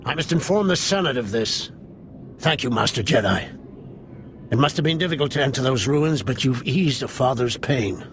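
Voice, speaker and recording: A man's voice: medium pitch, animated, moderate pace, natural voice. An actor playing a troubled senator and grieving father in a video game, speaking formally. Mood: troubled, grateful. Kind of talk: video game character acting. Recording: clear ambient noise.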